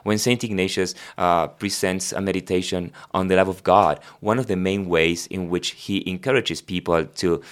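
A priest from Argentina whose first language is Spanish, speaking English with an Argentine Spanish accent and explaining faith reflectively in a podcast chat. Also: male voice, low voice, fairly even intonation, normal speed, hardly any pauses, smooth. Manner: reflective, explanatory, with frequent "uh" and "I mean". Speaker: priest guest. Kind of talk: conversational religious podcast talk.

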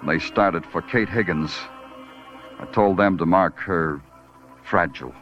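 An actor playing a world-weary, poetic New York police detective in a 1940s radio drama, clipped and brooding. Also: male voice, sits low. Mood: brooding, grim. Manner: brooding, clipped, deliberate delivery. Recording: dull vintage radio recording, organ-style music behind.

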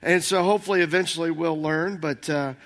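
An older man giving a conversational sermon as senior minister, slightly hoarse, rambling and halting with many fillers. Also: a little high, a bit bright.

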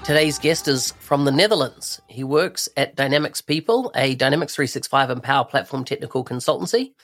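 A man's voice: medium pitch, animated, brisk, a bit bright, soft background music. A man hosting a podcast interview, introducing and questioning in a conversational way. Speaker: podcast host.

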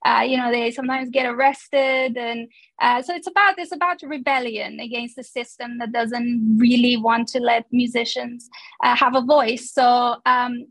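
A woman in her thirties from Iran, a company founder speaking as an interview guest, thoughtful and measured with many pauses. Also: on the high side, steady tone, fairly bright tone, dry recording. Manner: thoughtful, frequent um and uh. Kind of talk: casual interview.

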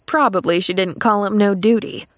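A woman voicing an excitable, gossipy housemaid in an audiobook, with dramatic, folksy, ungrammatical speech. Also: medium pitch, normal speed, no room sound, lightly acted. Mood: puzzled. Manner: dramatic, folksy, ungrammatical dialect, repeats herself. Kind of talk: audiobook character voice.

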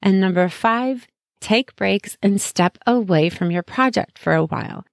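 Woman, podcast host, casual tips chat, hoarse, raspy fry, dead dry sound. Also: medium pitch, measured pace, dry recording. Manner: chatty and encouraging, friendly asides. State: losing her voice.

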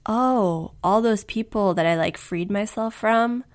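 A woman's voice: medium pitch, a little slow. Chatty woman podcast host. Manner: chatty, laughs often, self-deprecating. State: recovering from flu, voice recently lost. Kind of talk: casual chat.

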